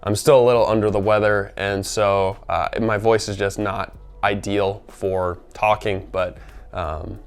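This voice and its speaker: A man talks through a casual gear review while sick, his voice gravelly and worn. Under the roughness it stays fairly smooth and resonant, and he rambles along with plenty of fillers. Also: lowish voice, flat delivery, measured pace. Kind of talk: casual product review vlog.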